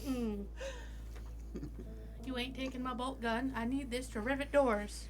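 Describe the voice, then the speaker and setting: High voiced lady playing a tabletop rpg, jokey and bossy, music clearly playing behind her. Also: fairly even intonation, unhurried. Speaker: tabletop roleplaying game player. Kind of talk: casual in-character game banter.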